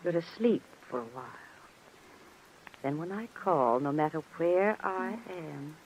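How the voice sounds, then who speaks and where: An actress playing a jealous young woman, sharp and commanding, secretly scheming, in old-time radio drama style. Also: sits low, lively intonation, a little slow. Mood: coaxing, controlling. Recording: muffled, noisy vintage radio recording.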